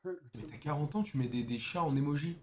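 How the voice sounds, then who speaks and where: A man's voice: a little high, fairly quick. A young adult man, a streamer, bantering and mocking a stranger in slangy casual chat.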